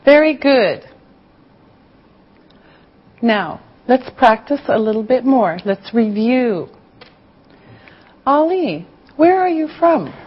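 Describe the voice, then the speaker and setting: A woman's voice: fairly high pitch, big pitch swings, takes it slowly, long pauses, husky, exaggerated voice. A woman playing an encouraging English teacher, giving carefully enunciated classroom instructions and dictation. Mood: patient, instructive. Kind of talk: scripted classroom lesson with dictation. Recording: slightly dull lo-fi audio.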